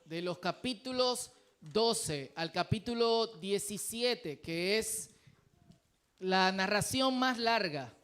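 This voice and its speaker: A man's voice: high voice, lively intonation, slow pace, fairly bright tone, clean tone. A man with a Dominican accent preaching a sermon in a church, in a conversational, humble way with frequent fillers. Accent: Dominican Spanish. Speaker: pastor.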